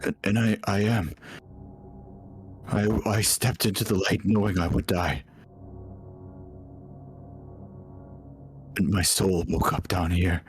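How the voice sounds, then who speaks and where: Man playing a slow, tormented fallen soul. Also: low-pitched, flat delivery, slight background noise. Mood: pleading. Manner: halting, faltering, pleading delivery. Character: tormented, guilt-ridden fallen follower of a light god, pleading. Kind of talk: tabletop roleplay acting.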